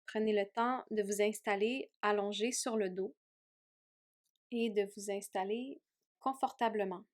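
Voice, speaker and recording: A woman yoga teacher leading a guided meditation in a gentle, soothing way with long pauses. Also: medium pitch, fairly even intonation. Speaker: yoga teacher guiding a relaxation.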